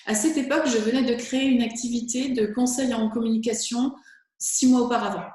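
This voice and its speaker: French woman, guest speaking coach, slightly high, eager, very echoey room. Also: steady tone, brisk, mellow. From France. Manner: enthusiastic, fluent with occasional fillers. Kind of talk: interview answers.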